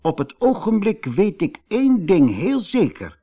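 A man's voice: sits high, big pitch swings, unhurried, warm tone, husky, pitched above the performer's own voice, exaggerated voice. A man with a Netherlands Dutch accent voicing a firm, kindly old man in a children's storybook. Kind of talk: storybook character dialogue.

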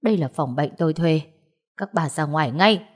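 A woman's voice: deep voice, expressive, moderate pace. An anxious young wife and devoted granddaughter, played by a female narrator in an audio story. She speaks with raw emotion and a fierce protective streak. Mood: angry.

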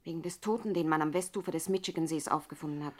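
A woman's voice: low-pitched, racing. An actress playing a gangster's worldly, anxious girlfriend in a 1960s radio drama, insistent and knowing. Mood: worried, brooding.

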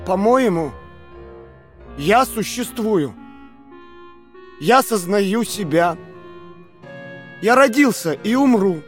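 An actor playing a weakened but stubborn prisoner, halting and earnest, in an audio drama. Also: male voice, high-pitched, expressive, faint music behind. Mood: earnest, insistent. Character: weakened male prisoner, questioning, stubbornly clinging to reason. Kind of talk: dramatic audio play acting.

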